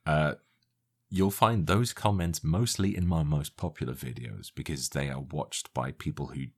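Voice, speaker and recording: Interview guest: a man with a very deep voice and a British accent with a Dutch trace. Frank and dry. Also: normal speed, husky. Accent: Dutch-tinged British English. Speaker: YouTuber guest. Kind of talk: interview conversation.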